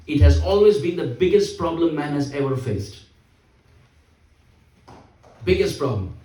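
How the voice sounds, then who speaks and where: A man's voice: fairly high pitch, mellow. A man giving a sermon, emphatic and repeating his key phrases. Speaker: spiritual speaker. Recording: echoey hall, voice from a distance.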